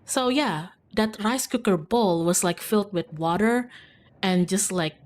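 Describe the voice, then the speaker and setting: A woman's voice: mid-range voice, measured pace, slight background noise. A young woman from Indonesia, streaming and telling a rambling story about share-house life, full of "like" and restarts. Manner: rambling, filler-heavy, lots of "like". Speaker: VTuber streamer. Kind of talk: casual storytelling while gaming.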